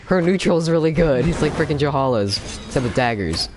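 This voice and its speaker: A young man chatting casually while he streams a fighting game, excitable, with sudden yelps and laughs. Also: on the high side, fairly quick, over music. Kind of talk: casual gameplay commentary. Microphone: no camera, desk mic.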